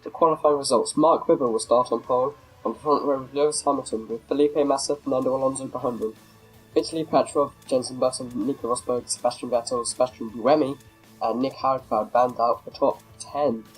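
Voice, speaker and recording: A man's voice: medium pitch, steady tone, brisk, slightly rough, soft background music, low-quality recording. A man with a cold and a slightly nasal voice, casually commentating his racing game, rambling and correcting himself. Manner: rambling, self-correcting, many fillers. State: has a cold, a bit nasal. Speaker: gameplay commentator.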